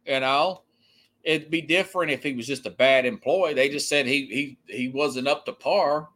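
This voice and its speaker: A man hosting a sports podcast, giving opinionated, unscripted commentary in a halting, emphatic way that often circles back to repeat points. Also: medium pitch, fairly bright tone.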